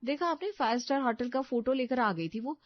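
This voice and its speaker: Lady doing annoyed young bahu, higher voice, protesting and hurt, dry kinda muffled story audio. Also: fairly quick, no room sound, close to an everyday voice. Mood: indignant. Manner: aggrieved, protesting. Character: Simran, indignant young daughter-in-law. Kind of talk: Hindi audio story acting. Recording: slightly dull, dry studio recording.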